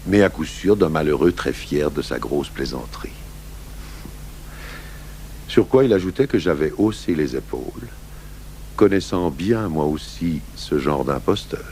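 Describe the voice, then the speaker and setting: A man narrating a French radio crime drama in the first person as a composed police commissioner, measured and literary. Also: a bass voice, a little mellow, full character voice. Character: police commissioner recounting his case, composed. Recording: noisy 1980s radio recording with hum.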